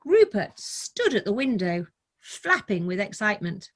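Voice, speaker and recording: A woman's voice: mid-range voice, very animated, measured pace. A middle-aged woman who is a children's author, telling and reading a story for young listeners. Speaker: guest author reading her children's book. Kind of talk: casual storytelling, then read-aloud of a picture book.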